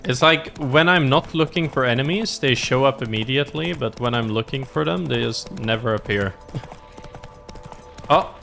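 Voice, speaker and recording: Guy streaming a game, chatting casually and thinking out loud, average pitch, music playing behind him. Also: moderate pace, vocal fry. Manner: thinking aloud, talking to self and viewers. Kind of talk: casual live gameplay commentary.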